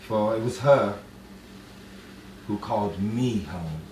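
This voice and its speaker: A man playing a grieving, lovestruck man in a radio romance drama, speaking haltingly with dramatic pauses. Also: on the low side, a little mellow. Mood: wistful, lovelorn. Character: grieving lovestruck man recalling his lost love. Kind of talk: old-time radio romance drama monologue. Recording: echoey distant room, noisy amateur recording.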